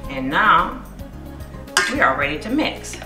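A middle-aged woman, a cookbook author, demonstrating a recipe in her home kitchen, explaining each step in a warm, encouraging way. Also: lowish voice, very animated, slow. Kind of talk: cooking demonstration. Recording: echoey kitchen with music behind.

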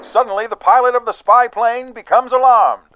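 High-pitched radio serial announcer, acted by a man. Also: fairly even intonation, normal speed, a bit bright, highs cut off, a little hiss or hum. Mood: dramatic. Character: dramatic old-time radio serial announcer. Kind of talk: 1940s radio serial narration.

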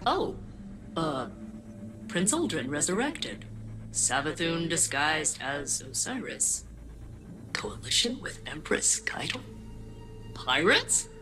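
A woman's voice: mid-range voice, bright, forceful tone, heavily acted. An actress voicing a nervous, rambling female ship AI in a video game. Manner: nervous, rambling, quick asides. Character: anxious, nerdy female AI persona of the ship.

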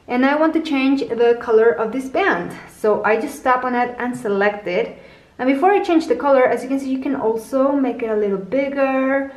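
A woman hosting a tutorial, patiently explaining each step as she works on a tablet. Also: a little high. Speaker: tutorial host. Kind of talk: step-by-step app tutorial narration. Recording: roomy echo.

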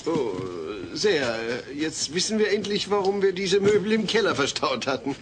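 A man's voice: sits high, expressive, normal speed, slight background noise. An actor playing a mild, bemused middle-aged father in a sitcom audio play, with dry, hesitant delivery.

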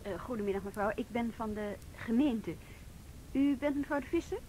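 A woman's voice: normal speed, close to an everyday voice. A woman playing a firm, matter-of-fact youth police officer who asks probing questions in a Dutch radio drama. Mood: polite, businesslike. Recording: noisy old radio drama recording, rumble.

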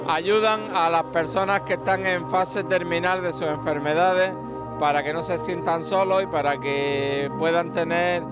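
Middle-aged man, halting and high. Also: steady tone, over music. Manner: halting, warm praise with many restarts. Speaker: interviewee. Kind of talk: street interview. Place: crowded gallery indoors. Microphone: handheld reporter mic.

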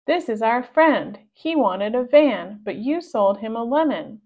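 A woman's voice: fairly high pitch, lively intonation, measured pace, muffled recording. A woman voicing a bold, feisty girl from a children's storybook, speaking with emphasis. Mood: indignant.

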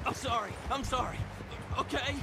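An actor playing a timid young captive in a western video game, pleading in panic. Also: male voice, very high voice, normal speed, gravelly, over music. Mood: terrified, pleading. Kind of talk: video game dialogue acting.

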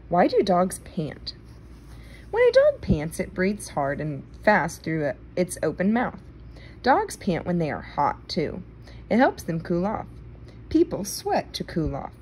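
A woman reads a children's book aloud with a slightly low voice. Her intonation rises and falls in a lively way, and she phrases things carefully. There is some faint noise in the indoor home recording. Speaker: read-aloud reader.

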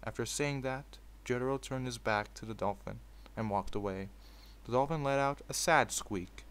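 A man's voice: medium pitch, normal speed, a bit bright, clear, resonant tone. A man in an informal, sweary manner, hosting a video and moving between casual commentary and storytelling. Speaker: video host reading fan fiction aloud.

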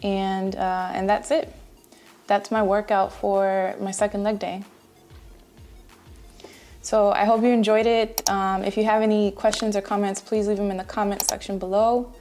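A woman's voice: medium pitch, little pitch movement, normal speed, a little mellow, soft background music. A woman in her thirties giving a casual, conversational walkthrough of exercises as a fitness vlogger, recorded in a room at home. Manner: conversational, explanatory. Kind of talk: casual instructional voice-over explaining exercises.

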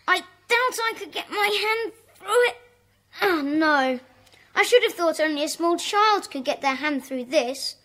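A polite english schoolboy, high young voice, earnest and kind. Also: female voice, lively intonation, measured pace, bright, forceful tone, exaggerated voice. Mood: curious, polite.

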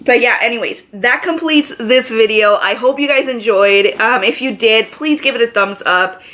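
Raspy-voiced pregnant woman chatting to camera. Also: fairly high pitch, moderate pace, a bit bright. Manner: chatty, lots of filler words, gestures with both hands. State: congested from allergies. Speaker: vlogger. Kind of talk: casual chat to camera. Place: living room, seated on a couch. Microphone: camera in front, medium framing.

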